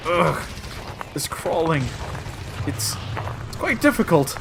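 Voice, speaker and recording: A man playing an excitable, bantering time-traveling alien in a comic audio drama, theatrical and mock-pompous. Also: fairly high pitch, slow, vocal fry, background noise, heavily acted. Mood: exasperated.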